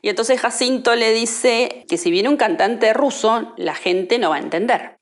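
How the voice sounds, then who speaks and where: A woman's voice: fairly high pitch, unhurried. A woman telling anecdotes in an interview, conversationally, voicing the quoted dialogue as she goes. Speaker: interviewee telling family memories.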